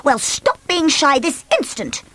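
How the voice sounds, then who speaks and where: A woman voicing a bossy little female storybook character who snaps orders in a loud, sharp voice. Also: high voice, big pitch swings, a little slow, pitched above the performer's own voice, heavily acted.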